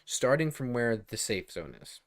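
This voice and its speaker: A man's voice: medium pitch, sing-song, normal speed. A man co-hosting a gaming podcast, talking in casual, joking banter. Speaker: podcast co-host.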